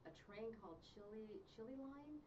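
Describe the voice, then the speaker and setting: A woman from southern Colorado whose first language is Spanish tells family stories as an author and genealogist. She speaks haltingly and repeats her words. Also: fairly high pitch, expressive, darkish tone. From southern Colorado, USA. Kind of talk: conversational interview storytelling. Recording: distant, dull mic with faint hiss.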